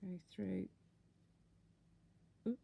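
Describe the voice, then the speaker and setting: A woman's voice: medium pitch, fairly even intonation, extremely slow, vocal fry, mellow, breathy edge. A woman giving a casual, step-by-step craft tutorial, talking informally and unhurriedly as she works.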